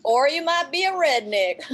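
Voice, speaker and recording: A woman's voice: high voice, expressive, takes it slowly, slight background noise. A woman riffing playfully in an improvised comedy chat, often laughing at her own absurd lines. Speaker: guest.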